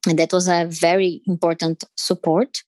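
A woman's voice: on the low side, level tone, moderate pace, a little mellow, no echo. Brazilian woman, hesitant, dry close sound. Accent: Brazilian Portuguese. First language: Portuguese. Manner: hesitant, many fillers and restarts. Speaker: interview guest. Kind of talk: reflective interview answers.

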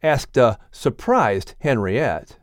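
Animated male audiobook narrator. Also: medium pitch, slow pace, no echo, clean studio sound. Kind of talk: scripted children's story narration.

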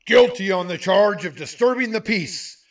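An actor playing a stern, declamatory courtroom judge in a radio drama. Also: male voice, sits high, normal speed. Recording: very noisy, distant from mic.

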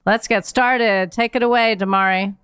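Smooth-voiced woman hosting a show. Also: medium pitch, steady tone, moderate pace, a bit bright, no echo. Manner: polished, announcer-like delivery. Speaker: podcast host. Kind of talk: scripted show introduction and interview hosting.

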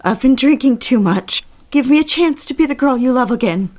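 An actress playing a fragile, jealous, lonely young wife in a period audio drama, pleading, hesitant and anxious. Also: female voice, high-pitched, lively intonation, a little fast, a little mellow. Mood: shaken, apologetic.

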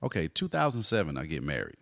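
Deep gravelly laid-back man. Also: huge pitch swings, fast, dry recording, clean studio sound. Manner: laid-back storyteller, frequent "you know". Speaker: guest hip hop artist. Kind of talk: casual conversational interview.

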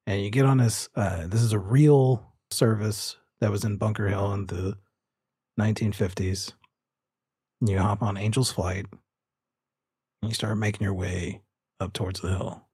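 Casting: male game master, low and slightly husky, narrating tabletop roleplay in a dry studio sound. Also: fairly even intonation, normal speed, long pauses, darkish tone, dry recording.

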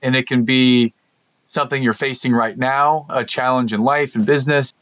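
A man's voice: mid-range voice, steady tone, normal speed, a bit bright, no echo. A man hosting a podcast, drawing out his guest with long, rambling, encouraging questions. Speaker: podcast host. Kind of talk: casual podcast interview.

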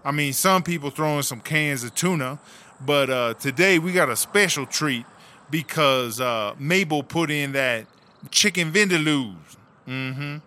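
A man's voice: a little high, unhurried, sharp tone. A man playing a sassy, bossy female inmate, talking in emphatic slang in a comedic audio drama. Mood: explanatory, relaxed. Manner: slangy, emphatic, self-promoting.

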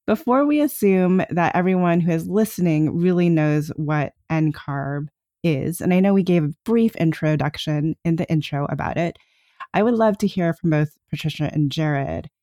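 A woman co-hosting a podcast, introducing topics and guests in a steady, articulate way. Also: low voice, moderate pace, no echo, clean studio sound. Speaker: podcast co-host.

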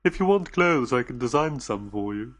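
A man voicing a nervous, gentle male alien who speaks anxiously and apologetically. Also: medium pitch, expressive, moderate pace.

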